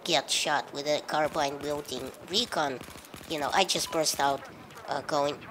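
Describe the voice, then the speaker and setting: A woman's voice: deep voice, fairly even intonation, unhurried, noisy background. A woman with a head cold giving casual, rambling, wry video game commentary, with hesitations along the way.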